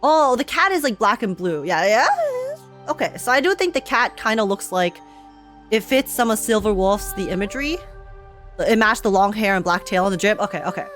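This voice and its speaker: A woman giving casual, excited live commentary on a video game as a streamer, rambling and emphatic with plenty of slang. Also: medium pitch, normal speed, soft background music.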